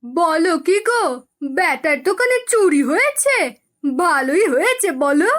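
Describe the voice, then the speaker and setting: A woman voicing a spiteful village shopkeeper's wife who eggs her husband on, in an animated moral tale. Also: extremely high pitch, measured pace, full character voice. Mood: gloating.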